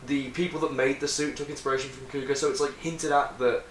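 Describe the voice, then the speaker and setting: Young male host, casual enthusiast explainer, average pitch, distant mic with some room noise. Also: fairly even intonation, fast talker, echoey room. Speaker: host of a friends' tier list discussion. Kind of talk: casual chat among friends.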